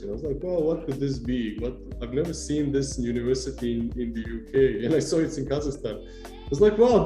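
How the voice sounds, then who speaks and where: A man's voice: mid-range voice, dark tone. A man, a university lecturer chatting easily about his life and path in an interview. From Serbia. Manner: chatty, easygoing, self-correcting storyteller. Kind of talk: casual interview answers. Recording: echoey room.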